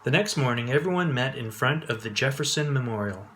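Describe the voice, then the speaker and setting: A man narrating a children's bedtime story in an expressive read-aloud style. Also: medium pitch, a little fast, a little hiss or hum. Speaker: storyteller, host.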